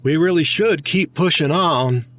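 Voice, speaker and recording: A man's voice: a little high, measured pace, fairly bright tone, dry recording. A man playing a domineering, hot-tempered pioneer husband and father in a historical audio drama, speaking curtly. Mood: bossy. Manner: curt, domineering.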